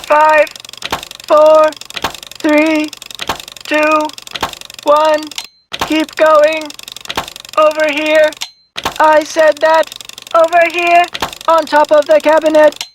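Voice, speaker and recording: High, flat-toned officious robot. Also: female voice, extremely slow, pauses often, noisy background. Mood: robotic, officious. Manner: formal, stilted, pre-programmed phrasing. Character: literal-minded, officious talking memory robot with sensors. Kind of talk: video game character voice lines.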